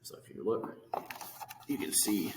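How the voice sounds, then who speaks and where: A man reading a children's storybook aloud, stopping to explain things to young listeners. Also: unhurried. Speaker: story reader. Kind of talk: animated children's storybook read-aloud with asides. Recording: echoey hard room, long reverb, hiss.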